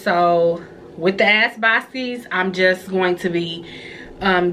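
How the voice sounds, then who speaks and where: A woman's voice: mid-range voice, sharp tone, a little hiss or hum. Nasal, congested young woman vlogger. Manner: chatty, reads viewer letters aloud with reactions. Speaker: vlogger giving advice. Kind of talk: casual advice vlog.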